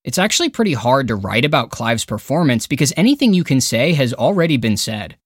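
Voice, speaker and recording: A man's voice: mid-range voice, sing-song, brisk, slightly rough, no room sound, clean studio sound. A man reading a scripted review countdown as a podcast narrator and critic, with an emphatic, opinionated, dramatic way of phrasing.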